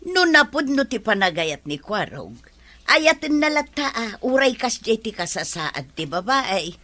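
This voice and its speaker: A woman's voice: a little high, very animated, measured pace, sharp tone, heavily acted. A woman with an Ilocano accent playing a chatty, nosy village woman in a comedy drama. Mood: curious.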